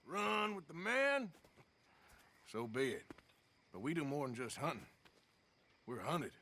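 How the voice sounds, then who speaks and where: An actor playing a gruff, sardonic outlaw of the American West in a video game, drawling in an American accent. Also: male voice, fairly high pitch, sing-song, unhurried, pushed and bright, full character voice. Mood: stern, wry. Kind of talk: dramatic video game cutscene acting.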